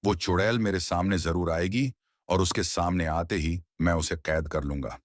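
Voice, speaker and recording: A man's voice: deep voice, fairly even intonation, normal speed, dry recording, studio quality, close to an everyday voice. A man playing a self-assured, solemn village tantric in a dramatized horror story. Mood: reassuring. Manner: solemn, declarative.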